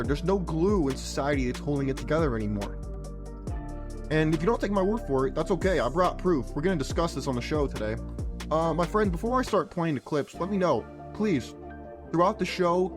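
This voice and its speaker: A man hosting a podcast, his voice partly gone and hoarse, talking in a provocative, sarcastic, lecturing way. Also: a little high. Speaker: podcast host. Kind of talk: opinionated podcast monologue.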